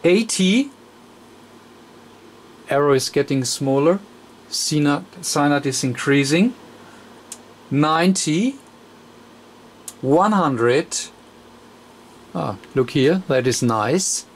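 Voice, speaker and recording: Man, very slow halting workbench explainer, faint hiss behind. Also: a little high, expressive. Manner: halting, many fillers, counts aloud while adjusting. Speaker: radio repair technician. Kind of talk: hands-on repair demonstration. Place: electronics workbench.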